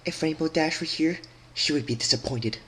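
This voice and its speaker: Stern, wrathful pony princess for a fan-fiction drama, played by a male reader: raised pitch, regal, commanding, accusatory. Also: normal speed, fairly bright tone, breathy edge. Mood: bitter reproach.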